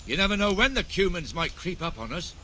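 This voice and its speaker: An actor playing a gruff, talkative older town guardsman in a video game. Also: high-pitched, big pitch swings, a little fast, a little hiss or hum, full character voice. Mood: companionable. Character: gruff, chatty older town guardsman showing newcomer around. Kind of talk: video game dialogue acting. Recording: loud music under the voice. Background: loud music.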